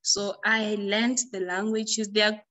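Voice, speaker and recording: A woman's voice: medium pitch, level tone, unhurried, fairly bright tone, highs cut off. South African woman explaining things conversationally. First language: Xhosa. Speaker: language tutor being interviewed. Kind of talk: conversational interview answers.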